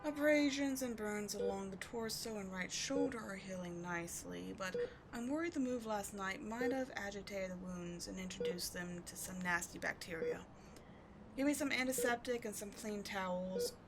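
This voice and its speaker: A woman's voice: mid-range voice, moderate pace, noisy background, natural voice. A woman playing a sardonic, commanding supervillain in a scripted audio roleplay, biting and contemptuous as she tends a captive sidekick. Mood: brisk, scornful.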